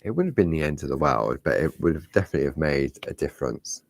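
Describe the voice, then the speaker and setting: A man with a slightly croaky voice, demonstrating a parchment craft step by step and chatting to himself as he works. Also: extremely low pitch, sing-song, quick pace, dry recording, studio quality. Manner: chatty, talks to himself while working. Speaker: craft tutorial host.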